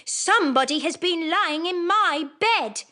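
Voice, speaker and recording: A woman's voice: very high voice, animated, unhurried, sharp tone, voice pushed up, exaggerated voice. A woman voicing an emphatic middle-sized bear in a children's storybook. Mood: indignant. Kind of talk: storybook character voice.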